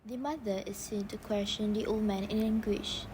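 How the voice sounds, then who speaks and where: A woman's voice: mid-range voice, steady tone, moderate pace. Woman narrating a play, noisy recording. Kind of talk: scripted stage play narration. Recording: clearly noisy background.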